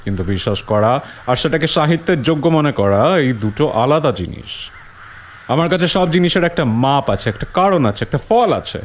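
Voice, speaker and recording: A man's voice: on the high side, animated, a little fast, lightly acted. A man playing a skeptical, pragmatic school principal in an audio drama, dry, scornful and lecturing. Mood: firm reasoning.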